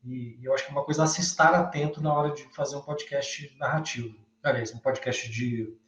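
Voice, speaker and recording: A Brazilian man giving thoughtful, halting commentary in a class discussion, thinking aloud as he goes. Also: mid-range voice, fairly even intonation, a bit bright. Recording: echoey room, dull lo-fi sound.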